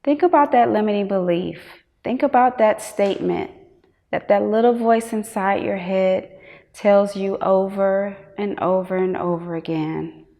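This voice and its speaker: A woman teacher guiding a meditation, giving measured instructions with long pauses. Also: mid-range voice, warm tone. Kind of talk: guided meditation. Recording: long hall-like reverb.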